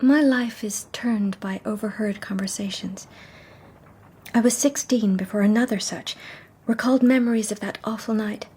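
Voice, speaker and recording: An actress playing a wistful young society woman, telling her story in a hushed, measured radio drama style. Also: mid-range voice, slightly rough, natural voice. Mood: haunted, sorrowful.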